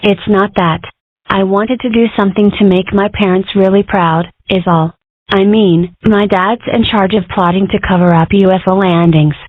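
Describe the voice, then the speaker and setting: A woman's voice: mid-range voice, steady tone, moderate pace, no room sound, close to an everyday voice. A woman voicing an earnest, anxious young female dragon who works as a political aide in a cartoon comic dub. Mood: guilty, earnest. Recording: thin phone-like lo-fi audio.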